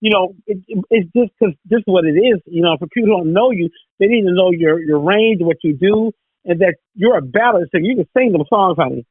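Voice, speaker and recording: A woman's voice: lowish voice, brisk, breathy edge, no room sound. A woman hosting a radio interview, chatty and full of "you know". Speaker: radio host. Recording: tinny phone line.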